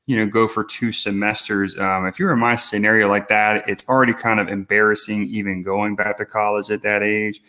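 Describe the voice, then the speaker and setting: A man's voice: fairly low pitch, a bit bright. A man in his mid-thirties calling in to a livestream with a crude, hesitant question, padded with fillers. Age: 30s. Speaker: caller. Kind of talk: casual call-in question.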